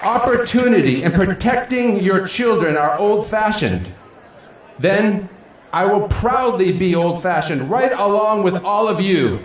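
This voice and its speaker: A man acting a middle-aged, self-assured city politician in an audio drama, folksy and grandiose, with dramatic pauses. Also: high voice, lively intonation. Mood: rousing, resolute. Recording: echoey hall, faint crowd and noise.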